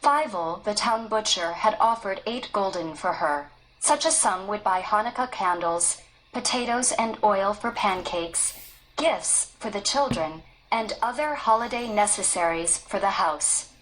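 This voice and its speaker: Woman reading a kids story out loud, takes her time, steady and calm. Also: medium pitch, a little hiss or hum, low-quality recording. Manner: slow, even, careful storytelling. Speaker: story reader. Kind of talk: read-aloud storybook narration.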